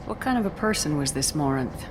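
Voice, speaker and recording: A resolute female commander. Her voice is low, controlled and direct, with a businesslike manner. There is clear noisy ambience in the background. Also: animated, brisk. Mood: probing. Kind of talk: dramatic video game acting.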